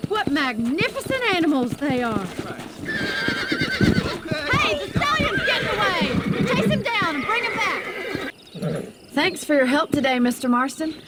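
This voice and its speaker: Spirited high-voiced young ranch woman. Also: big pitch swings, measured pace, pauses often, full character voice. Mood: grateful. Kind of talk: naturalistic video game acting. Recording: clear background noise, clicks.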